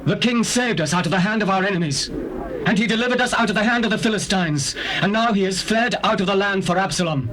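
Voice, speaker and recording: Man acting an agitated high-voiced Israelite. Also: fast, a crowd in the background, natural voice. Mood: urgent. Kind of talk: dramatized scripture, classical stage acting. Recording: noisy old cassette tape.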